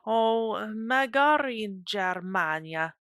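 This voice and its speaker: A woman's voice: mid-range voice, lively intonation, slow pace, a bit bright, dry recording, clean studio sound, pitched above the performer's own voice. A woman reading the lines of an awkward, defensive man who answers hesitantly. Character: awkward, defensive educated man, easily flustered.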